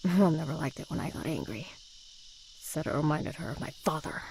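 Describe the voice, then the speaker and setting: A woman's voice: deep voice, expressive, a little fast, vocal fry, a little mellow, a little hiss or hum, low-quality recording. A woman playing an angry, sardonic, rebellious teenage schoolgirl in a video game, with a curt, sarcastic manner. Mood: bitter, sardonic.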